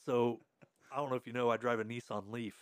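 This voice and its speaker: Middle-aged male host, mid-pitched, friendly and easygoing, dry studio sound. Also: steady tone, fairly quick, clean tone, no echo. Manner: friendly, easygoing, laughs often. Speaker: podcast host. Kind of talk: casual podcast chat. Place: podcast room.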